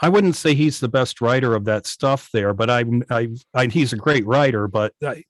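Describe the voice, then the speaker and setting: Man in a dry-sounding call, mid pitch. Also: moderate pace, no echo. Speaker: guest, old-time radio station operator. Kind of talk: casual interview chat. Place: indoors on a video call.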